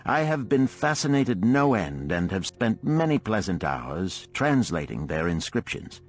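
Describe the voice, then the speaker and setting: Genteel 1930s academic read by a male narrator, low-pitched, mannered, chatty and courteous, for an audiobook. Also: very animated, measured pace, faint music behind. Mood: pleased, astonished. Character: genteel, scholarly male British archaeology professor writing a letter.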